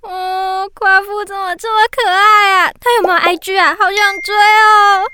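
A woman's voice: extremely high pitch, flat delivery, normal speed, bright, forceful tone, a little hiss or hum. A woman with a Taiwanese accent voicing a cute, gushing, starry-eyed little child in a children's radio drama, sweet and full of exclamations. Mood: gushing wonder.

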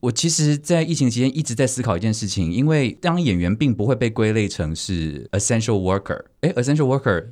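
A man with a Taiwanese Mandarin accent hosting a casual panel chat in a playful, teasing, expressive way. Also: medium pitch, brisk, hardly any pauses. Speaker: podcast host.

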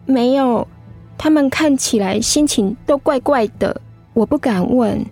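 A timid high school girl in a radio drama, speaking Taiwanese Mandarin in a high voice. She is anxious and hesitant, taking her words slowly. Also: warm tone, husky, soft background music, exaggerated voice. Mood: frightened, hesitant. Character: worried, timid seventeen-year-old high school girl.

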